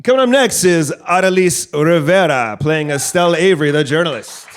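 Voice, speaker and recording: A man's voice: on the high side, animated, normal speed, slight background noise. A man hosting and narrating a live audio play from a theatre stage. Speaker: host and narrator. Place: theatre stage before an audience.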